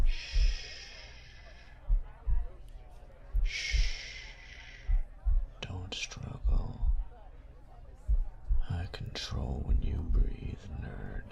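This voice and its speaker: A man playing a cocky, taunting, domineering young bully in an intimate audio roleplay, speaking in a breathy, gravelly half-whisper with slow, teasing menace. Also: sits low, exaggerated voice. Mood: commanding. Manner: slow, teasing, menacing delivery with drawn-out pauses.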